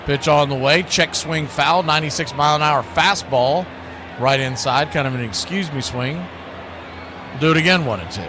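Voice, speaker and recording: A man's voice: fairly high pitch, moderate pace, noisy background. A man doing live baseball play-by-play in a folksy style, fond of nicknames and catchphrases. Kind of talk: live sports commentary.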